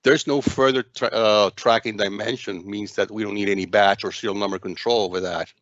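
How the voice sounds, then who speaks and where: A man narrating a software demo walkthrough as its presenter, speaking in a halting, hesitant way with frequent stumbles. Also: lowish voice, fairly even intonation, dry recording, dull audio.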